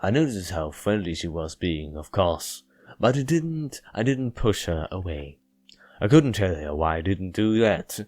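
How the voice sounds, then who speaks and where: Visual novel lead: brooding, withdrawn man, a player reading him aloud, low-pitched, deadpan, very dry recording. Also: a little slow, no echo, heavily acted. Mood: reflective. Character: Jack, aloof, withdrawn, brooding male narrator of visual novel.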